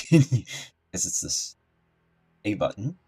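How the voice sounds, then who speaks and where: A man's voice: fairly low pitch, sing-song, extremely slow, dark tone, breathy edge. A man giving casual live-stream commentary as he plays a video game.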